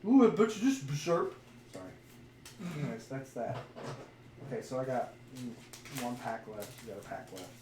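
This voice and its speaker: A man's voice: mid-range voice, expressive, moderate pace, pauses often. A man chatting casually as a podcast co-host, commenting on trading cards in a jokey, crude way with some wordplay. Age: adult, parent of a young son. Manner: jokey, crude, plays with words. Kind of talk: casual chat while opening trading card packs. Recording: roomy echo, off-mic, faint noise.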